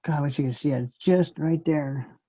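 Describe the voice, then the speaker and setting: A man's voice: fairly high pitch, normal speed, dark tone. A man hosting a live stream, chatting casually in a rambling, laid-back way and chuckling often. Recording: dull lo-fi stream audio.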